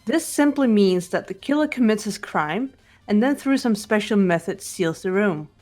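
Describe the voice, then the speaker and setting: A woman voicing a composed, analytical teenage girl detective in a fan-voiced mystery game, speaking in a deliberate, precise way. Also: medium pitch, expressive, a little mellow, soft background music, lightly acted. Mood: calm, analytical. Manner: deliberate, precise, lecturing delivery. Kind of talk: fan voice-over of a mystery visual novel.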